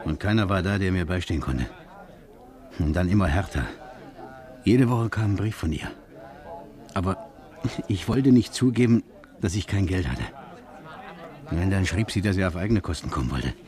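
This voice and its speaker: Ein Schauspieler spielt in einem Krimihörspiel der 1950er einen misstrauischen, von Schuld geplagten Mann, der grüblerisch und stockend spricht. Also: male voice, low voice, darkish tone, close to an everyday voice. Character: distraught, suspicious, guilt-ridden man searching for his fiancée. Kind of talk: 1950s German radio crime drama acting.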